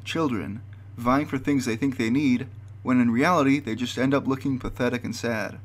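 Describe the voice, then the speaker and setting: A man's voice: medium pitch, fairly even intonation, normal speed. A man narrating a scripted explanatory video.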